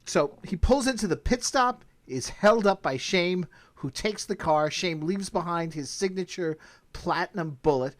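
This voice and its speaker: A man's voice: sits high, expressive, unhurried. A man hosting a podcast, talking casually as he recaps and discusses. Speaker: podcast host.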